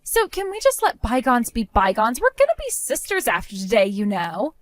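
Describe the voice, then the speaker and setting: Woman doing a sneering, giggly future sister-in-law for an audio drama; bright, bouncy, dry close sound. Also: fairly high pitch, wildly swooping pitch, normal speed, no room sound. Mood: pleading. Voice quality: giggly, taunting. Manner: sing-song, dismissive, turns pleading.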